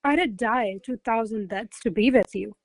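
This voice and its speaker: Radio play ingenue voiced by an actress: young maid in love, effusive, excitable, highish pitch, dry recording. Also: female voice, a little slow, no room sound. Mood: elated, adoring. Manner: effusive, romantic, theatrical. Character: devoted, excitable young lady-in-waiting in love. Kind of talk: verse stage comedy, recited as radio play.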